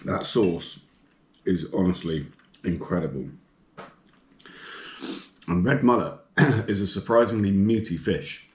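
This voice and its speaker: A man's voice: low-pitched, a little slow, long pauses. A man with a blocked nose talks casually and enthusiastically as he cooks in his home kitchen. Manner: relaxed, enthusiastic about food, talks while cooking. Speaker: fishing and cooking vlogger. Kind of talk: casual cooking commentary. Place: home kitchen with sizzling pan. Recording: echoey kitchen with frying noise.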